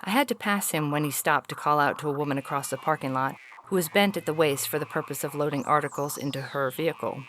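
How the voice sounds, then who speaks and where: A low female voice from an actress as an alien officer filing field reports in an audio story. The delivery is stiff, clinical and precise, faintly weary and homesick. There is slight noise behind the recording. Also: fast talker, few pauses, fairly bright tone. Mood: clinical, disapproving. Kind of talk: scripted audio fiction reading.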